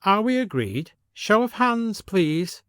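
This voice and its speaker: A man's voice: high voice, big pitch swings, takes it slowly, a bit bright, dry recording, clean studio sound, pitched above the performer's own voice, full character voice. A man voicing a prim, bossy upper-class village lady with a commanding, formal manner in an audio story. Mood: brisk, commanding.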